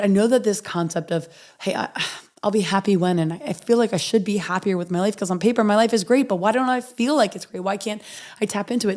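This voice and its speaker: Hoarse, earnest woman podcast host. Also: mid-range voice. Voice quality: raspy. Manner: earnest, reading affirmations softly and slowly. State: hoarse from recovering after illness. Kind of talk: motivational podcast monologue.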